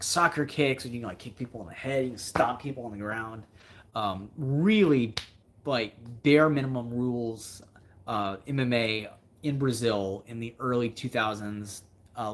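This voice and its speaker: A man hosting a casual livestream as a fishing video creator, answering viewer comments in a rambling, think-out-loud way and repeating himself for emphasis. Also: mid-range voice.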